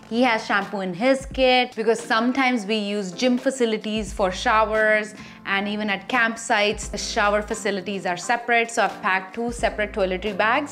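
A woman's voice: mid-range voice, fairly bright tone. A woman vlogger talking casually through her packed bags, listing items one by one with small fillers along the way. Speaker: vlogger showing her packing. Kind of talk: casual show-and-tell.

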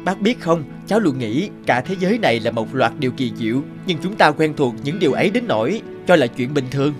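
A polite young graduate in an animated fairy tale. He is eager and admiring, with very varied intonation, over prominent guitar music. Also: a little high, brisk. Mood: earnest, wondering. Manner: earnest, admiring. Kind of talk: cartoon fairy-tale acting.

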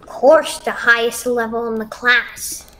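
Woman as a slow, hesitant young schoolgirl. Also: on the high side, steady tone, bright, forceful tone, poor audio. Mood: proud. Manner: hesitant, halting. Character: anxious young schoolgirl, unwell but proud of reading. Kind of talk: amateur voiced roleplay.

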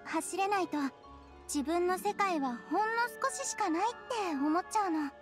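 A woman playing a gentle, wistful little girl in an anime fantasy game, with a soft childlike voice and earnest, hesitant delivery. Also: very high voice, moderate pace, music in the background. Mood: grateful, joyful. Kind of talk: Japanese anime game voice acting.

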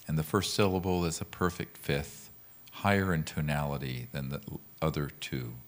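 A man leading a guided meditation as a teacher, speaking in a calm, gentle, measured way with pauses. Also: extremely low pitch. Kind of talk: guided meditation with mantra instruction.

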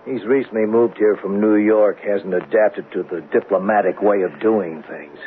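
An actor playing a curt, authoritative police captain in a 1970s radio drama. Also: male voice, fairly low pitch, normal speed, lightly acted. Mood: dry. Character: firm, diplomatic male police captain. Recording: tinny, noisy old radio recording.

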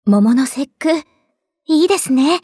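A woman voicing a relaxed, inviting grown-up warship lady in Japanese anime game lines, composed and coaxing. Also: sits high, measured pace, breathy edge, dry recording, studio quality, full character voice.

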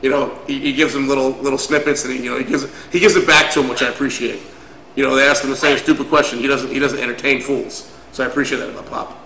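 A man's voice: mid-range voice, steady tone, quick pace, vocal fry, sharp tone, echoey room, a little hiss or hum, low-quality recording. Chatty, joking male podcast co-host. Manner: chatty, joking, says brother and man a lot. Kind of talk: casual chat.

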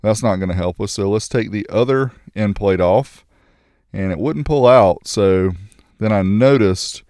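A man's voice: lowish voice, a little mellow, dry recording, clean studio sound. A man reviewing car audio gear, narrating a test in a matter-of-fact, casual, technical way.

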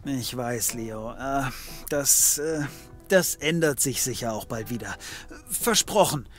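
An actor playing a sardonic, cheeky private detective in a comedy audio drama, flippant and casual. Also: male voice, medium pitch, expressive, slow, soft background music. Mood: placating.

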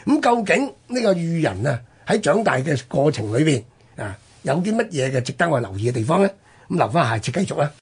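A man's voice: on the high side, sing-song, fairly quick. A man with a Hong Kong Cantonese accent telling history conversationally as a radio host, explaining with rhetorical questions and interjections.

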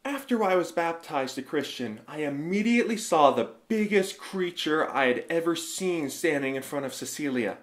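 A man's voice: a little high, expressive, moderate pace, few pauses, lightly acted. A man playing a zealous, newly converted young Roman husband in an audio drama, earnest and emphatic. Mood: awed, sheepish.

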